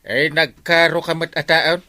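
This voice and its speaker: An actor playing an exasperated, blustery village captain who scolds and exclaims in a comedic radio drama. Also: male voice, a little high, steady tone, unhurried, pushed and bright. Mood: scolding.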